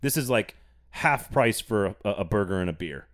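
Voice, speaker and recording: A man's voice: on the low side, expressive, normal speed, fairly bright tone. A man hosting a casual sports podcast, playful and teasing, reading an ad with gusto. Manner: playful, teasing, reads ads with enthusiasm. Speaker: podcast host.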